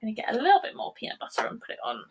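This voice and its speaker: A woman co-hosting a children's cooking demo, calmly explaining each step. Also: racing, background noise. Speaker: children's show co-host.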